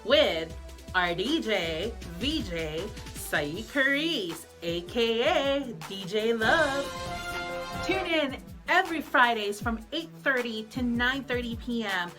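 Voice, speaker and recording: A woman's voice: a little high, expressive, takes it slowly. A woman radio DJ reading upbeat station promos and show schedules as an announcer. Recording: music bed behind the voice. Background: music.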